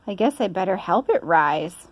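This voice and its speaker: A woman's voice: lowish voice, sing-song, normal speed, slightly rough. A woman voicing a cheerful, literal-minded housemaid from a storybook, earnest and folksy.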